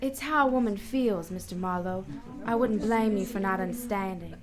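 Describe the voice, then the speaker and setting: An actress playing a sentimental, distressed wife pleading with a detective in a melodramatic radio play. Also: female voice, medium pitch, normal speed, crowd noise, lightly acted. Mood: wistful pleading. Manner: pleading, dramatic repetition. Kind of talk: melodramatic radio drama acting.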